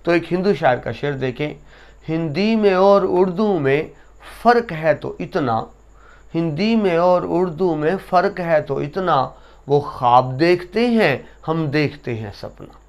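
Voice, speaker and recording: A man giving a lesson to students, explaining essay writing and reciting couplets slowly with emphasis. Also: on the high side, expressive. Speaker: teacher.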